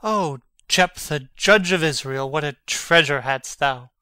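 A man acts a young prince in a Shakespearean drama, witty and mocking as he feigns madness. His voice is highish, very bright and clean, recorded close and dry. The delivery is theatrical, with a sly, sardonic edge. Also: a little slow, clear, resonant tone, dry recording. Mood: teasing. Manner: theatrical, teasing, archly sardonic.

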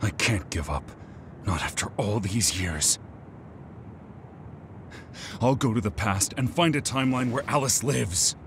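A man's voice: lowish voice, unhurried, long pauses, slight background noise. An actor voicing an ancient, weary, resolute man in an anime game, solemn and commanding. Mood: grimly determined. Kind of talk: dramatic anime game voice acting. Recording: loud background music.

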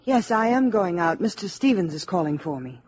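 Uneasy, husky-voiced mother. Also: fairly low pitch, very animated, a little fast, a little mellow. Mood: polite, uneasy. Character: polite, uneasy young mother, gently firm. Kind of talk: 1950s radio drama acting. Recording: dull 1950s radio recording.

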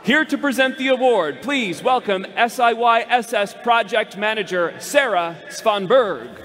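A man in his thirties hosting an awards ceremony on an arena stage, speaking formally into a headset mic and building to emphatic, loud announcements of winners' names. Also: sits high, lively intonation, unhurried, a little hiss or hum. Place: large arena stage before a big audience. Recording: long echo of a big hall.

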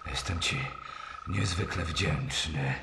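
A man's voice: rumbling low, vocal fry, exaggerated voice. A man playing a grateful, generous noble prince in a radio play, solemn and stately. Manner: solemn, stately, deliberate phrasing. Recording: echoey, noisy recording with ambience.

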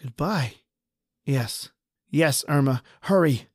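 A man's voice: medium pitch, expressive, takes it slowly, darkish tone, slightly rough, dry recording, clean studio sound. A man playing a desperate, injured husband trapped underground in a horror radio drama. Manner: pleading, ragged, then defiant.

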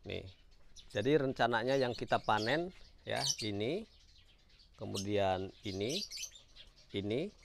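A man narrating a casual vlog as a bird breeder, talking to his viewers. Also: fairly low pitch. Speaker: lovebird breeder and vlogger. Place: outdoors among backyard bird cages. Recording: bird chirps, clicks, outdoor noise. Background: loud birdsong and chirping.

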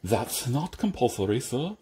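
A man voicing a calm, deferential, stitched-together manservant who answers dryly and patiently. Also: medium pitch, measured pace, a little hiss or hum. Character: unflappable, deferential stitched-together male servant. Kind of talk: audiobook character reading.

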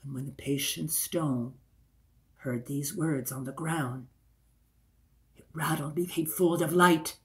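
Male storyteller, 60s, unhurried and deliberate delivery, theatrical folktale narration. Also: on the high side, fairly even intonation, fairly bright tone. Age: older, 60s. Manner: deliberate, repeats phrases for effect. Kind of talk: dramatic oral folktale storytelling. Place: small room indoors by a window.

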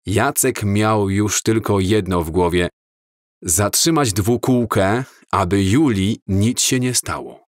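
A man narrating an audiobook story in a slow, measured, calm reading style. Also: on the low side, no echo.

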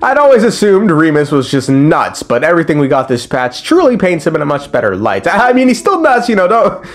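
A man's voice: a little high, huge pitch swings, brisk. A man giving a casual, joking recap commentary on game lore, with slang and swearing. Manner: irreverent, joking, profane. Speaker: video creator explaining game lore. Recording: music behind the voice. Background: background music.

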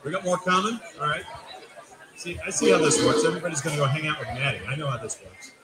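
A man's voice: fairly high pitch, fast, very noisy. A man hosting a casual soccer podcast interview at a brewery event, joking and easygoing. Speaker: podcast host. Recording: echoey room with crowd chatter.

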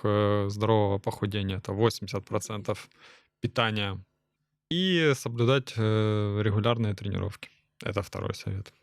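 A young man, a fitness coach and nutritionist, answering podcast questions in a short, laconic way with dry deadpan humor. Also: lowish voice, dry recording. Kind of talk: casual podcast interview.

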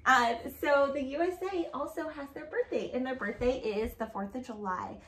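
A woman in her thirties hosting a children's story time, reading aloud and asking questions in animated, child-directed talk. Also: high-pitched, moderate pace. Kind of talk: picture-book read-aloud and chat for children. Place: living room, indoors. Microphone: camera a few feet away. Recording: echoey room.

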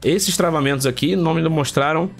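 A man from Rio with a slightly high voice, stuffed up by a heavy cold. He talks like a tech channel host, colloquial and emphatic, repeating phrases to drive them home. Music plays softly behind him. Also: fast, vocal fry. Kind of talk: casual tech vlog commentary.

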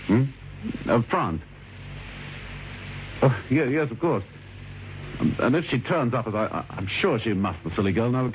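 An actor playing a scheming, wealthy middle-aged husband with a hesitant manner in an old radio drama. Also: male voice, medium pitch, lightly acted. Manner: stammering, hesitant when feigning concern. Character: scheming forty-year-old wealthy husband, smug and falsely anxious. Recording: muffled, noisy old radio recording.

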